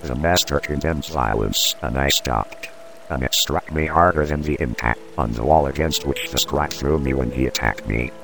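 Judas, a man playing a gravelly, very deep disciple. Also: moderate pace, a bit bright, exaggerated voice. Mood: brooding. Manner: urgent, breathless pleading. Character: agitated impassioned male disciple Judas. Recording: music score underneath.

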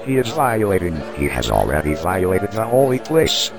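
A man's voice: deep voice, big pitch swings, moderate pace. A man playing an indignant, scandalised disciple in a dramatised religious audiobook. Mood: horrified, indignant. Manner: horrified, indignant. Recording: music bed behind the voice.

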